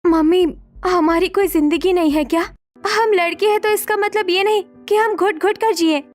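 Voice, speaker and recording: A woman's voice: very high-pitched, fairly even intonation, normal speed. A woman voicing a young college girl in a cartoon story, a stifled daughter who speaks earnestly and plaintively. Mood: upset. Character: young college girls, stifled, timid yet resentful daughters.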